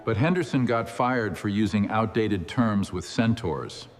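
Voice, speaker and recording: A man's voice: lowish voice, unhurried, music in the background. A man reading scripted story narration and voicing its dialogue, with car sounds behind him. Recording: clear car and traffic noise behind.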